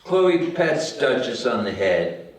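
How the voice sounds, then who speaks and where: A man's voice: medium pitch, sing-song, slow. A man reading stage directions as scripted narration at a table read. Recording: very echoey room, far from mic.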